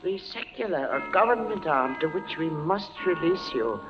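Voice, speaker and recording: An actor playing a stern, pitiless judge of the Inquisition, speaking gravely and with measured weight in 1940s radio style. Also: male voice, a little high, lively intonation, natural voice. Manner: grave, measured, pronouncing sentence. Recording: dull old radio broadcast with music.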